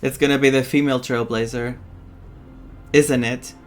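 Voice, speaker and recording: A woman giving casual playthrough commentary as a gaming streamer, in an excitable, gushing manner. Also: a bass voice, moderate pace, soft background music.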